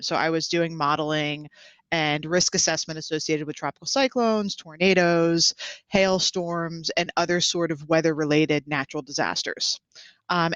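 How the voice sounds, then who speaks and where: Smooth-voiced woman podcast guest. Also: low voice, fairly bright tone, dry recording. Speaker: guest, meteorologist and underwriter. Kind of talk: podcast interview.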